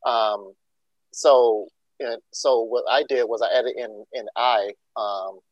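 A man's voice: medium pitch, fairly even intonation, slow, dry recording, lo-fi sound. A man from Georgia, a school district leader answering interview questions with many ums and restarts. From Georgia, USA. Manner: many ums and uhs, restarts, earnest explaining.

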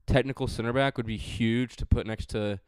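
A man's voice: mid-range voice, steady tone, a little fast, smooth, no room sound. A man hosting a casual sports podcast, chatty and joking, with frequent fillers. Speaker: podcast host.

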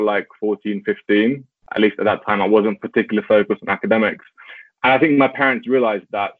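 A young man taking part as a guest in a casual interview, talking in a relaxed way, laughing easily and using lots of "like" fillers. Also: on the low side, dry recording. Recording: tinny phone-like call audio, dry.

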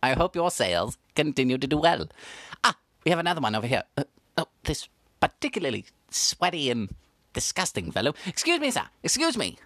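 Deadpan faux reporter, stiff and formal, animated low voice. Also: female voice, brisk, dry recording. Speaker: mock street reporter. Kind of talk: comedic mock news report.

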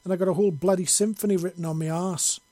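A brash old Scottish soldier, cursing and defiant, pitched high and loud, as a male narrator puts him on. The voice stays dark and mellow, recorded dry and close with no room sound. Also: moderate pace, no room sound, lightly acted. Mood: indignant. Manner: blustering, swearing, defiant.

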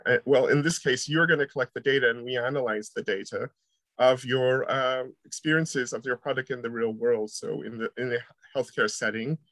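A man's voice: medium pitch, fairly even intonation, normal speed, fairly bright tone, dry recording. American man answering interview questions. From United States. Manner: hesitant, many uh fillers, friendly laughs. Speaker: university researcher guest.

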